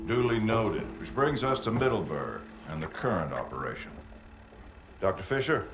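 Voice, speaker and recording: An actor playing an authoritative, controlled mission commander giving a formal briefing in a video game cutscene. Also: male voice, lowish voice, very animated, moderate pace, background noise. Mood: formal, assured.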